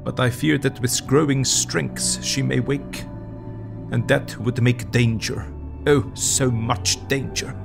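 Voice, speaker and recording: A grave old professor in an audio drama. His voice creaks with gravel shapes halting, emphatic phrases. Light music plays behind him. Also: mid-range voice, fairly even intonation. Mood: cautioning. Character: grave, urgent older Dutch professor and doctor.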